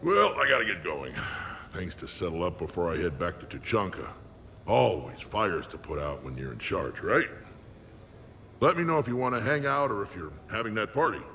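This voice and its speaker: A weary alien warlord from a sci-fi game. The voice is low, gravelly and gruff, swinging widely in pitch. It has a long reverb. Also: male voice, sing-song, normal speed, a bit bright, heavily acted. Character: gruff, weary male alien warrior leader. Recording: reverberant room, faint noise.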